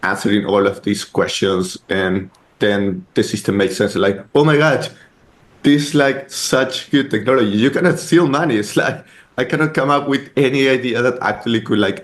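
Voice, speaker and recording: A man's voice: fairly low pitch, normal speed. A man with a Chilean accent, a guest on a podcast interview, telling his story in a rambling way full of "like" and "uh". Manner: rambling, heavy use of "like" and "uh". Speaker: guest, startup co-founder.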